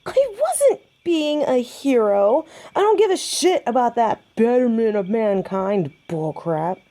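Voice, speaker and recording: A woman's voice: on the high side, very animated, slow pace, warm tone. A woman playing a stubborn, hot-headed young female werewolf with a defiant, sarcastic manner in a romantic audio roleplay. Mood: defiant, irritated.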